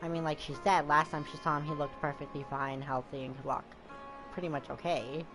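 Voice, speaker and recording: A woman's voice: sits low, steady tone, a little fast, poor audio. A woman giving casual, informal commentary on a story game, thinking aloud as she reacts. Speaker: gamer reacting to a story quest. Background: game music.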